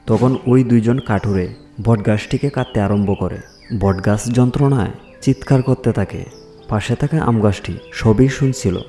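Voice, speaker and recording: A man's voice: fairly low pitch, measured pace, warm tone, faint music behind. A man narrating a scripted fairy tale for children as a storyteller.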